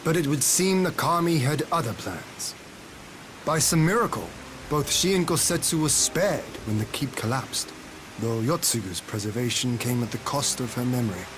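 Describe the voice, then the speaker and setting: An actor playing a calm, noble young lord in a game cutscene, speaking in formal, archaic, measured phrases. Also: male voice, mid-range voice, background noise, lightly acted. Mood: grave. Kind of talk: video game cutscene acting.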